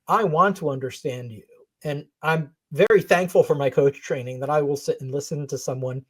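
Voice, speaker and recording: A woman with a low voice talks as a podcast guest. She grew up in Israel and speaks slowly and thoughtfully, laughing easily as she reflects. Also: husky. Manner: earnest, laughs often, slow and thoughtful. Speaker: coach, interview guest. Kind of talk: reflective interview talk.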